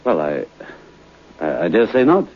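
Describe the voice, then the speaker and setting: An actor playing a polite, musing gentleman visitor in an old radio drama, speaking hesitantly. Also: sits low, big pitch swings, unhurried, heavily acted. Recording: muffled old radio recording, faint noise.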